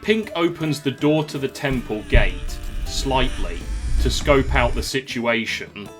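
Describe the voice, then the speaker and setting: A man's voice: mid-range voice, animated, takes it slowly, over music. A man narrating a scripted audio drama in the present tense, as a storyteller.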